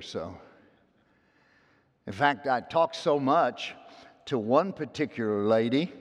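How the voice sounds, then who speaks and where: A man preaching from the pulpit with a loud voice and a folksy, storytelling way of talking. He drops into quiet, confiding asides and then climbs back to ringing, emphatic lines. The long reverb of a big sanctuary trails after every phrase. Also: mid-range voice. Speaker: pastor. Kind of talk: sermon. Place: church sanctuary.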